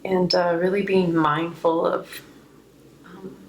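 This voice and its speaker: Female tarot reader, low register, a touch hoarse, reflective and unscripted delivery, some noise behind the voice. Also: level tone, slow, rough recording. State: slightly hoarse. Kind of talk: unscripted spiritual card reading, reflective.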